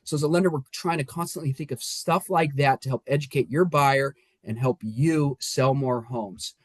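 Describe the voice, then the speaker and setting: Upbeat mortgage lender, dry sound. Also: male voice, medium pitch, moderate pace, dry recording. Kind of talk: casual podcast talk. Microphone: remote call.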